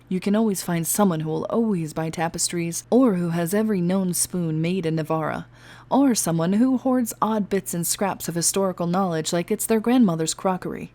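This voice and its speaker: A woman's voice: on the low side, warm tone. A woman storyteller reading fiction expressively and chatting casually as host of her own channel. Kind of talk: expressive audiobook narration and casual outro.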